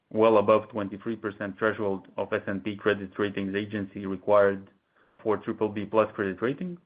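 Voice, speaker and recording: Over a narrow, phone-like conference line, a man with a fairly low voice delivers a scripted earnings presentation. He sounds like a company executive reading prepared remarks, formal and measured.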